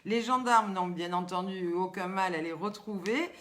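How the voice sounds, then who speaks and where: A woman's voice: mid-range voice, normal speed. An older woman with a Southern French accent, hosting a chatty live stream, asking riddles and teasing viewers by name. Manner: lively, teasing, chatty, reads viewers' comments aloud. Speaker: host.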